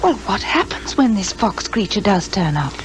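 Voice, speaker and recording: An English actress playing a timid, fretful, admiring secretary to a detective in a 1950s radio drama. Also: female voice, medium pitch, very animated, normal speed, close to an everyday voice, low-quality recording. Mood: anxious, curious. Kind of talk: 1950s British radio acting. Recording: 1950s radio recording with music behind.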